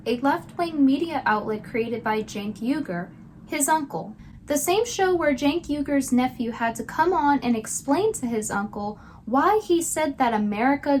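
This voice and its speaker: A woman's voice: on the high side, slight background noise. A woman giving scripted commentary with sarcastic, mocking asides. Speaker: video commentator.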